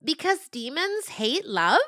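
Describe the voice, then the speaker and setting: A woman's voice: high voice, big pitch swings, takes it slowly, bright, forceful tone, no echo, clean studio sound, pitched above the performer's own voice, heavily acted. Woman playing a pompous young goat. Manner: pompous, over-enunciated. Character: pompous young female goat, know-it-all.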